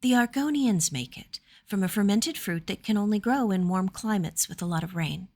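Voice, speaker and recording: A woman narrator voicing a gentle, anxious young noblewoman in a fantasy story, speaking softly and earnestly. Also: mid-range voice, animated, normal speed, voice pushed up. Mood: bright, explanatory.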